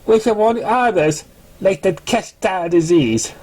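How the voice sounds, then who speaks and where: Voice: medium pitch, a little slow, a little mellow, voice pushed up, close to an everyday voice. A narrator voicing a snooty young rich pony bully, mocking and taunting in a storybook reading.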